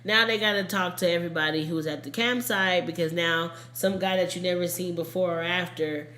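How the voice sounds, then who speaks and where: A woman with a fairly low voice tells a story conversationally, as a podcast host would among friends. Her phrasing is informal and emphatic. The room around her rings with noticeable echo. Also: steady tone, fairly quick, fairly bright tone. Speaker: true crime podcast host. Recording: echoey room, casual podcast recording.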